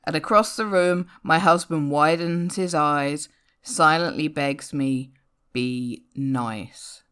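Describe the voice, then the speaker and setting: A woman reading a comic narrative poem aloud in a wry, theatrical, expressive way. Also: low voice, measured pace, smooth. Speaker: poetry reader. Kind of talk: dramatic spoken-word poem reading, rhyming storytelling.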